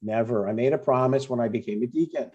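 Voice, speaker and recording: A man's voice: medium pitch, fast, a little mellow, husky, muffled recording, close to an everyday voice. A man playing a chatty, stubborn older father in a comedy table read, in a conversational, mildly needling way. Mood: earnest, defensive.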